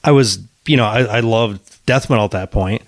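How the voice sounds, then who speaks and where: A man co-hosting a podcast, talking casually about bands in halting sentences, often restarting and swearing offhand. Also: on the low side, no echo, studio quality. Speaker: podcast co-host. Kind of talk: casual chat about music.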